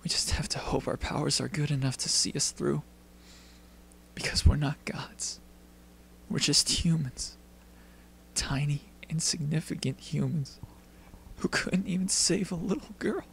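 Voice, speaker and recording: Man as fiery, earnest teen boy alchemist, anime dub, emotionally intense, bright edgy tone. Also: on the high side, a little slow, creaky, long pauses, whispered, low-quality recording. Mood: grieving, hushed. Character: hot-tempered, earnest teenage boy alchemist with fierce feelings.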